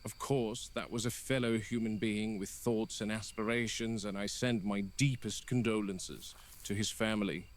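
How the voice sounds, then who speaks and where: A man playing a stern, exasperated game warden delivering a grave, deliberate monologue in a found-footage horror piece. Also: fairly low pitch, steady tone, close to an everyday voice. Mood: grim, stern. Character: stern, exasperated male park game warden logging incidents. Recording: noisy found-footage audio with insects.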